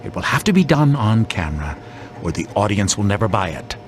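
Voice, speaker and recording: An actor playing a cold, calculating boss in a video game, measured and commanding. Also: male voice, very animated. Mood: cool, calculating. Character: cold, calculating male boss in control room. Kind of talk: video game cutscene acting. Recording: rumbling noise behind the voice.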